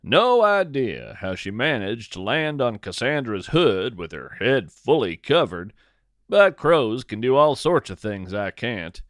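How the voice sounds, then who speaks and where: Slow, expressive male storyteller. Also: medium pitch, no echo, clean studio sound. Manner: measured, dramatic storytelling with deliberate pauses. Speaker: podcast host and narrator. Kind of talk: scripted narration of whimsical nature fiction.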